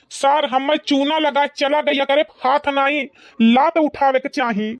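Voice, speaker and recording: A woman playing an excitable, boastful old village uncle in a comic cartoon, with an Awadhi accent and theatrical grumbling. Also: sits high, no room sound.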